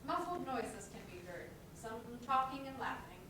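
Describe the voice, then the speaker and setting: A woman's voice: sits high, big pitch swings, moderate pace, pushed and bright. A woman reading scripted stage directions as the narrator of a play. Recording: very echoey, distant mic, noisy background.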